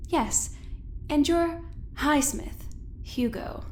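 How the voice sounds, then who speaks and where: Female scientist, audio drama. High voice, cordial but pointed, chatty. Light background noise. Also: very slow. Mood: polite, curious. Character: cordial, probing female doctor, a visiting scientist.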